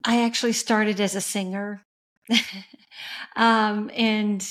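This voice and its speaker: A middle-aged woman from West Tennessee with a soft voice, telling the story of her career as an interview guest, with pauses, fillers and restarts. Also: mid-range voice, flat delivery. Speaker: interview guest, music industry coach. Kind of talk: reflective conversational storytelling.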